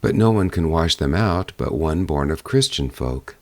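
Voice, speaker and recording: Man reading as a deep-voiced, resolute young prince. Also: expressive, moderate pace, no echo. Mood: earnest, hopeful.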